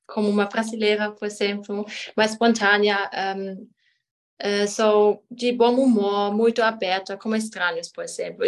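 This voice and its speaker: A woman from Germany whose first language is German, speaking as a guest and searching for words with many filler sounds. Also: mid-range voice. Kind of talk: conversational interview.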